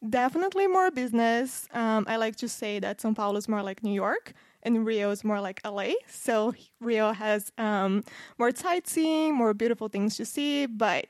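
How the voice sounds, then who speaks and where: A woman's voice: fairly high pitch, moderate pace, no room sound, clean studio sound. A woman in her thirties from Brazil with a Brazilian accent, a podcast guest chatting casually and explaining in a friendly way. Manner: friendly, explains with fillers and restarts. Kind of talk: casual interview chat.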